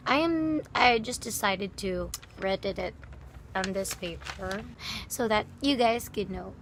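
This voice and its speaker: A woman's voice: mid-range voice, a bit bright, a little hiss or hum. A young woman from the Philippines with a Filipino accent, speaking English as a second language in a chatty, giggly student vlog. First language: Bisaya. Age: young adult. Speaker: student vlogger. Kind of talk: casual vlog talk to camera.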